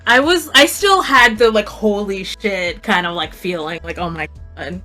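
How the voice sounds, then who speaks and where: A woman with a bright, forward voice at a middle pitch. She chats casually as a podcast panelist while music plays softly behind her. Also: moderate pace. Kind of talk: casual chat.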